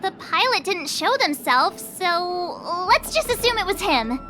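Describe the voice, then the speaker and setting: A woman's voice: extremely high pitch, very animated, unhurried, noisy background, full character voice. A woman voicing a playful young woman in a video game, narrating a detective tale in a theatrical, cheerful way.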